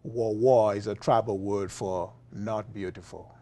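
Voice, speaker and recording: A man with a Liberian accent, a philosophy professor being interviewed, talking in a relaxed way with frequent fillers and chuckles. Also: fairly low pitch, unhurried. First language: Liberian English. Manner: chuckling, many uh fillers and restarts. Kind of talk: conversational interview.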